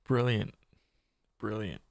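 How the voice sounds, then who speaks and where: Slow, creaky-voiced man reacting casually. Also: fairly low pitch, no room sound, clean studio sound. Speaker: gaming streamer.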